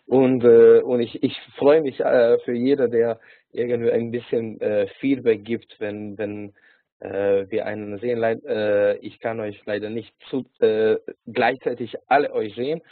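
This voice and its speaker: A man from Hungary speaking with a Hungarian accent, teaching an online lesson on a video call, halting with many filler sounds and restarts. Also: medium pitch, fairly even intonation, no echo. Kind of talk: online lecture. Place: small indoor room. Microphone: webcam or laptop mic on a video call. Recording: tinny phone-like video call.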